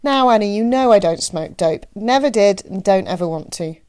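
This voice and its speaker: Woman playing older small-town man, casual, plainspoken, mellow tone, audiobook dialogue. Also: mid-range voice, lively intonation, normal speed, lightly acted. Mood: mildly defensive. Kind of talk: audiobook character voice.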